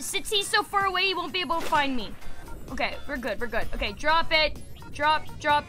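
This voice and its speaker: A young woman streams a game while sick, her voice going as she chats. It sits high and bright, jumping to shrieks and swearing whenever something startles her. Faint game music plays underneath. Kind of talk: casual gameplay streaming chat.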